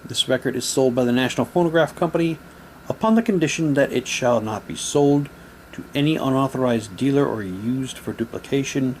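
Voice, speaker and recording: A man's voice: medium pitch, a little fast, a little hiss or hum, rough recording. A man doing a casual unboxing, talking in a rambling, repetitive way and reading printed items aloud. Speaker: hobby vlogger unboxing audio drama sets.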